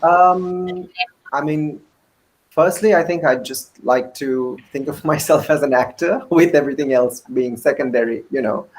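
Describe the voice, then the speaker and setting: A man speaking as an actor on a panel, measured and thoughtful. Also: on the high side, rough recording. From Sri Lanka. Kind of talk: reflective interview talk.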